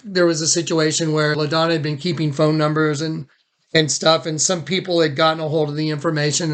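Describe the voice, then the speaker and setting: A man's voice: a little high, a bit bright. A man hosting a recorded phone conversation, talking in a casual, informal and somewhat rambling way. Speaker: host recording a phone statement. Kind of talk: casual, conversational documenting talk.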